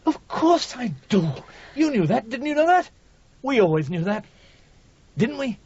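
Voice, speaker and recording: An actor playing a hapless middle-aged editor in a radio drama, hesitant, muddled and pleading. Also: male voice, very high-pitched, sing-song, measured pace, darkish tone, slight background noise, heavily acted. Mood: desperate, pleading. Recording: dull 1980s radio broadcast.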